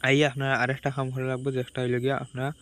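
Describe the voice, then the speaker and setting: A man's voice: mid-range voice, monotone, rapid-fire, a bit bright, clean tone. A man with a Sylheti accent giving a casual step-by-step phone tutorial, repeating himself as he instructs.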